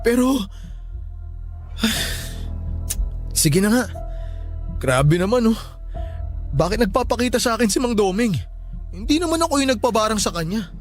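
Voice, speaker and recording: Jumpy young dude seeing spirits, voice way up high, music under it. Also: male voice, measured pace, pauses often. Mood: uneasy. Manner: excitable, anxious, calling out. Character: jittery young man who sees ghosts. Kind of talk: radio drama acting. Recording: music bed behind.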